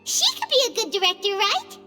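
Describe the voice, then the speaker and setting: A woman voicing a tiny, cheerful, chatty fairy companion with a squeaky childlike voice in an anime game. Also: very animated, fairly quick, big-room sound, over music. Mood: eager, chatty.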